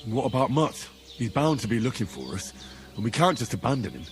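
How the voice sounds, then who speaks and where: Modest young commoner hero, played by a man, game cutscene; plain-spoken, dry wit, lively mid voice. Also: medium pitch, brisk, soft background music, close to an everyday voice. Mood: grateful. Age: young adult. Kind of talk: video game cutscene acting.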